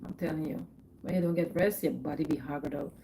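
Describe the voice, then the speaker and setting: A woman's voice: low-pitched, fairly even intonation, fast, gravelly, warm tone, slight background noise, low-quality recording. A middle-aged woman with a Guyanese accent, chatting casually on her livestream, repeating herself and laughing often. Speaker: livestream host.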